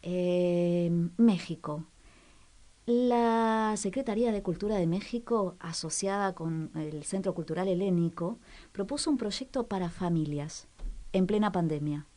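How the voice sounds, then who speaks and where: A woman's voice: lowish voice, unhurried, mellow. A woman co-hosting a cultural radio show in a casual, conversational way, searching for words and repeating for emphasis. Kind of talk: casual spoken radio segment.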